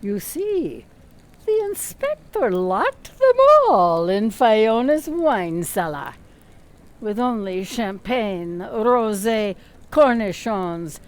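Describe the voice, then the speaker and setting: A woman narrating a radio play as theatrical storytelling, with dramatic, emphatic pauses on key words. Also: mid-range voice, sing-song, darkish tone. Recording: faint background noise.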